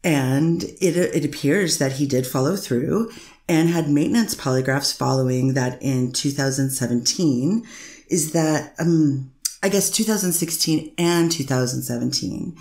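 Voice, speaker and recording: Woman reading a deep-ish lawyer lady, asks careful questions over and over, roomy echo. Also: a little slow, a little mellow. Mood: steady questioning. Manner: methodical questioning, many "is that fair to say". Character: careful woman lawyer cross-examining a witness. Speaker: defense attorney. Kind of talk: courtroom transcript reading.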